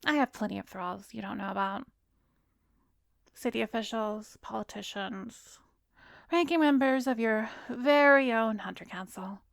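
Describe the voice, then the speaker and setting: Slow, breathy vampiress hypnotist, acted by a woman. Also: on the high side, no echo, clean studio sound. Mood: smug, gloating. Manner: slow, breathy, commanding, drifting into whispers. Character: arrogant, seductive vampiress hypnotist, smug and cruel. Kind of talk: ASMR roleplay, hypnotic scripted monologue.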